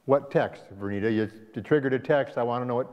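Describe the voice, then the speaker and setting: A man's voice: medium pitch, quick pace. Man teaching a Bible class in a church hall. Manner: conversational, asks the class questions. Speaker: pastor and Sabbath school teacher. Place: church sanctuary. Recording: dull echoey church hall recording.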